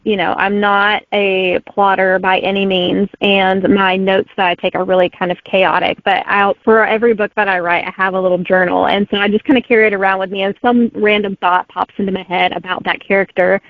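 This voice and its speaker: A woman's voice: medium pitch, little pitch movement, fairly quick, few pauses, no echo. A woman in her thirties speaks as an author guest, friendly and giggly, with lots of "um" and "like". Her voice comes through a tinny, phone-like line. The sound is dry and close. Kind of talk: casual interview answers.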